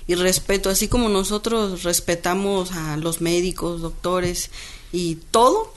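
A woman's voice: on the low side, measured pace. A woman midwife speaking firmly and earnestly in an interview. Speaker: traditional midwife. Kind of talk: interview statement.

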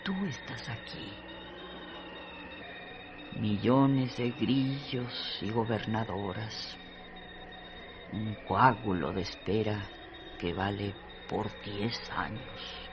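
A man narrating a literary radio drama in a deliberate, dramatic way. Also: fairly low pitch, steady tone, noisy background.

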